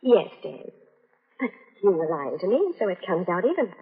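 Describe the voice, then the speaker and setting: An actress playing a scheming young fiancee in a 1940s radio suspense drama, with a calculating, dramatic delivery. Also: female voice, on the low side, very animated, moderate pace, husky, heavily acted. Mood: cold, calculating. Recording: muffled old radio broadcast.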